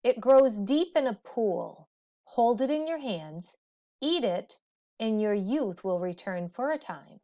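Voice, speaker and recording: A woman's voice: on the high side, expressive, takes it slowly, warm tone. Woman reading as a solemn, wise immortal elder. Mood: solemn, instructive. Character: calm, wise immortal old man of legend. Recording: dull, muffled lo-fi audio.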